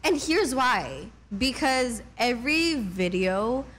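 A young woman in her twenties, a singer talking casually as an interview guest, relaxed and chatty with many fillers. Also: a little high, slow, a little hiss or hum. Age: 20s. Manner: relaxed, chatty, lots of "like" and "mm-hmm".

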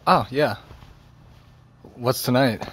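A man playing an awkward, kind seventeen-year-old boy in an audio drama, speaking haltingly. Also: mid-range voice, a bit bright, a little hiss or hum. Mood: shy, awkward. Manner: hesitant, halting. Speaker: actor. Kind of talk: naturalistic audio drama acting.

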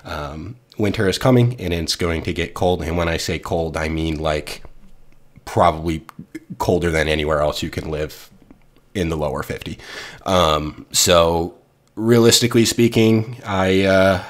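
A man's voice: low-pitched, a little slow. An American man with a stuffy, slightly nasal voice from a head cold, rambling casually over a game build. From United States. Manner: rambling, chatty, many ums and restarts. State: recovering from a head cold, congested and a little nasal. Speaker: game building channel host.

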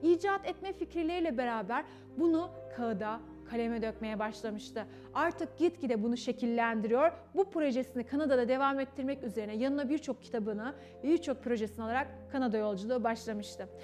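High-voiced young woman telling children a story. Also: a little fast, hardly any pauses, darkish tone. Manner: theatrical, emphatic, addresses children directly. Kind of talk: animated children's story narration. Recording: music behind the voice. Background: background music.

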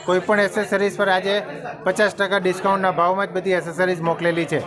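A man's voice: sits high, flat delivery, brisk, a crowd in the background. A man, listing shop offers in a slightly hesitant way inside a store. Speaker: shop staff. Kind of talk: interview answer. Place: shop interior. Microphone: reporter's handheld mic.

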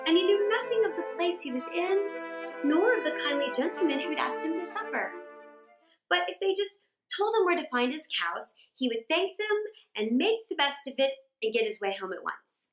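A middle-aged woman reading a children's story aloud dramatically, with expressive, drawn-out emphasis. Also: sits high, over music. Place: small indoor room. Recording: roomy indoor echo.

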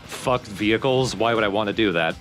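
A man's voice: lowish voice, a little fast, a bit bright, faint music behind. A man co-hosting a let's play, giving casual game commentary with dry sarcasm.

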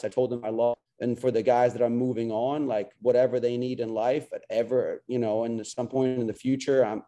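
A man's voice: medium pitch, mellow. A man in his forties, a head coach answering questions after a game over a video call from a small locker room, earnest and restarting his sentences. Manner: earnest, repeats words when starting sentences. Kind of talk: post-game press conference answers. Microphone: video call webcam.

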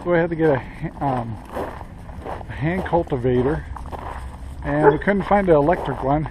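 A man's voice: fairly high pitch, moderate pace, noisy background. An older man chatting casually to a handheld camera outdoors on his rural homestead, rambling with lots of fillers and little chuckles. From Central Oregon, USA. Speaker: homestead vlogger. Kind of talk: casual vlog talk to camera. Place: outdoors on a rural property and in a garage. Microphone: handheld camera at arm's length.

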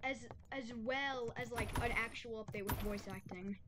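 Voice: medium pitch, moderate pace. A young gamer giving casual, rambling gameplay commentary full of slang and filler "like", recorded at home. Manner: rambling, slangy, uses "like" constantly. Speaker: gaming video creator. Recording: heavy noise, clicks.

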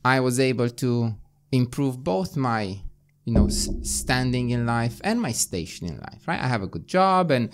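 A man in his forties from Romania with a Romanian accent, hosting a podcast conversation in earnest, persuasive tones, recorded in a small studio on a boom-arm mic. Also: animated, moderate pace, a little hiss or hum. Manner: earnest, persuasive, often asks "right?". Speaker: podcast host. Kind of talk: casual conversation. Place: small studio with foam panels.

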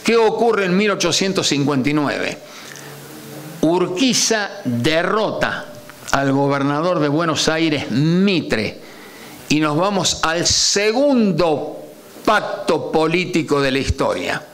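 Animated older Argentine man lecturing. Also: on the high side. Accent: Argentine Spanish. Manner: animated, stretches key words slowly for emphasis, asks rhetorical questions. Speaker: lecturer on constitutional history. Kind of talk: academic lecture. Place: conference room at a panel table. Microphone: table gooseneck microphone.

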